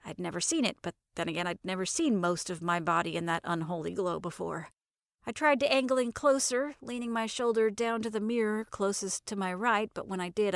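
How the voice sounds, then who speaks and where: A woman's voice: medium pitch, gravelly, dry recording, studio quality. A woman narrating a first-person comic story in a wry, conversational, sardonic way.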